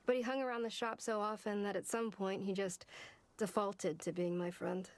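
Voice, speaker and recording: A woman playing a brooding fighter in a video game, recalling a troubled youth in a halting, confiding way. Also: mid-range voice, little pitch movement, no echo, lightly acted. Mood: guarded, reflective. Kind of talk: video game monologue, reflective storytelling.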